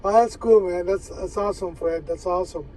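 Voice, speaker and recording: A man in casual ham radio chat, folksy, often repeating his phrases with drawn-out pauses. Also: sits high, warm tone, far from the mic, slight background noise, poor audio. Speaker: amateur radio operator.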